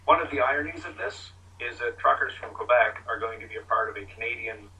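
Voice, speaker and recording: Very bright-voiced wry man, dull sound. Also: mid-range voice, fairly even intonation, fast talker, recorded from a distance. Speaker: panelist.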